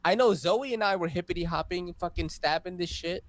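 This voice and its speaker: A man in his forties telling a rambling gaming story on a casual podcast, swearing freely and breaking into loud laughter. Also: high-pitched, brisk, no room sound. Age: 40s. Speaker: podcast host.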